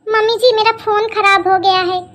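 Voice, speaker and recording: A woman's voice: very high voice, fairly even intonation, normal speed, fairly bright tone, pitched above the performer's own voice. A woman voicing a young modern daughter-in-law in a comedy cartoon, sweet-talking and demanding, with a whiny, coaxing manner.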